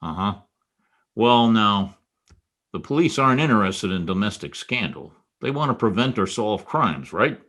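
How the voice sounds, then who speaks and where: A man's voice: on the low side, animated, normal speed, a bit bright. A man playing a wry, hard-boiled, dogged private detective in an old-time radio style drama. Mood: persuasive, businesslike.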